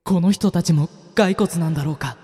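A man's voice: a little high, fairly quick, mellow, big-room sound, close to an everyday voice. A man playing a timid young part-time gym worker who also narrates the radio drama. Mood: tense narration. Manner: timid, startled. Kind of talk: scripted first-person radio drama narration.

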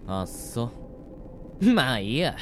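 A man's voice: mid-range voice, big pitch swings, slow pace, a bit bright, a little hiss or hum. An actor playing a flippant, taunting male killer in a death-game radio drama. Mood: sly, casual.

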